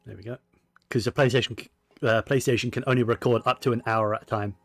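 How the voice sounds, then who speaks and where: A man's voice: medium pitch, steady tone, fast talker, soft background music. A man giving casual, rambling gaming commentary, often correcting himself as he goes. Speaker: game streamer.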